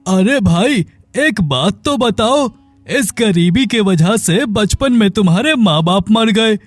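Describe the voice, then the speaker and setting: A man's voice: high voice, lively intonation, slow, no room sound, soft background music, exaggerated voice. A man voicing a taunting, sceptical villager in a cartoon, with a needling, mocking manner.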